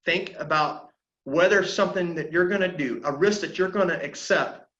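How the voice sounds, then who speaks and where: A man in his thirties from South Carolina, presenting an aviation safety webinar in a halting, earnest way with many fillers. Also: fairly high pitch, a bit bright. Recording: dull lo-fi audio in an echoey room.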